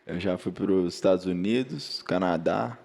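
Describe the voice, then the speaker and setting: A man from Brazil co-hosting a podcast, relaxed, mostly giving short agreeing replies and a brief travel story. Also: deep voice, unhurried, gravelly. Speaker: podcast co-host. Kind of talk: casual interview chat.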